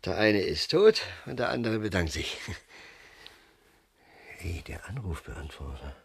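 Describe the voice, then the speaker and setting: An actor playing a weary, bewildered, whimsical father in a surreal radio drama, halting and stammering. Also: low voice, big pitch swings, vocal fry, slight background noise, heavily acted. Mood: wry, musing.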